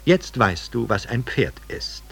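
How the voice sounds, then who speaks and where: A man's voice: mid-range voice, sing-song, slow pace, creaky, a bit bright, husky, soft background music, exaggerated voice. A man playing a stern, pompous, fact-obsessed schoolmaster in a radio play, theatrical and emphatic in delivery. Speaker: narrator reading a literary excerpt. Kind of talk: dramatic literary reading in a radio play.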